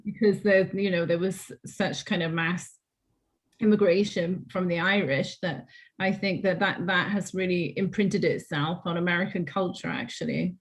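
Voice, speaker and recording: A woman's voice: fairly low pitch, steady tone, moderate pace. A woman from Wales with a mixed transatlantic accent, speaking as a panelist over a video call, chatty and informal with lots of "like" and "you know". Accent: transatlantic Welsh. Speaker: panelist and writer. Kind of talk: panel discussion, casual.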